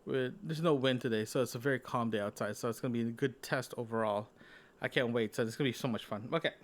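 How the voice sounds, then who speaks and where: A man recording a casual audio diary, talking in a rambling, conversational way and often correcting himself as he goes. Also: medium pitch. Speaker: podcast host.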